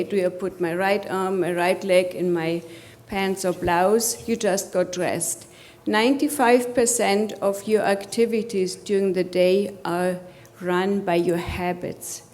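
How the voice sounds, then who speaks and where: A woman with a German accent speaks from the podium at a conference, coaching her listeners on mindset. She keeps turning to the room with rhetorical questions, her pitch sitting in an ordinary middle range. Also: fairly even intonation, unhurried, a little mellow. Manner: addresses audience with rhetorical questions. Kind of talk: motivational conference presentation.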